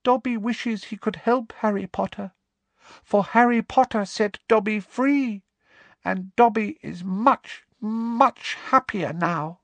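A man voicing a small, eager and humble male house elf with a squeaky voice, in a storybook reading. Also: takes it slowly, fairly bright tone, no room sound, heavily acted. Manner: eager, humble, speaks of himself in third person.

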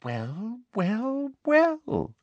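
Reader playing middle-aged female official, storybook villain, high-pitched, swooping, breathy, menacing, self-justifying. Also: sing-song, a little slow, dry recording, muffled recording, exaggerated voice. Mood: gloating.

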